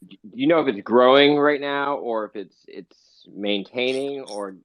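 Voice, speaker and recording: A man's voice: medium pitch, measured pace, a bit bright. A man hosting a casual interview in an online audio room, asking curious questions in a rambling way. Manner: rambling, inquisitive, frequent "like". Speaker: interviewer, host. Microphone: phone or computer in an online audio room. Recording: dull lo-fi online voice chat.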